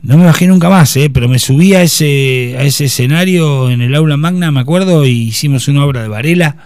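A man's voice: fairly high pitch, fairly quick, a little mellow, smooth, dry recording, clean studio sound. A man in his fifties with an Argentine accent, telling his life story as an interview guest, with many hesitations and restarts. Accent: Argentine Spanish. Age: 50s. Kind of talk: casual interview.

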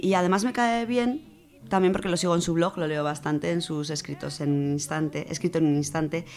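A woman's voice: low-pitched, a little mellow. A woman with an accent from Spain presenting a literary radio segment in a conversational, enthusiastic, semi-scripted way.

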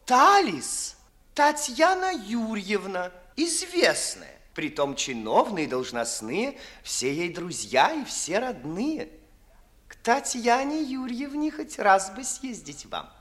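Unctuous, timid young office flatterer, 1950s radio verse comedy; slow, deferential, extravagantly melodic. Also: male voice, high voice, full character voice. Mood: polite condescension. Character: obsequious, cautious young clerk, sly flatterer. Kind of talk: 1950s classical radio theatre acting in verse.

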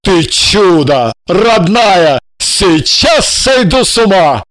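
A woman doing an effusive, slow-talking lovestruck male painter. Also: deep voice, animated, no echo. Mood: overjoyed. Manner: effusive, exclamatory. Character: ardent, excitable male painter in love. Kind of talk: audiobook character reading.

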